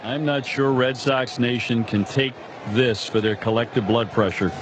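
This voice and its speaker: A man's voice: medium pitch, steady tone, normal speed. An American man, a baseball broadcaster, offering conversational commentary during a live game. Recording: live broadcast with loud background noise.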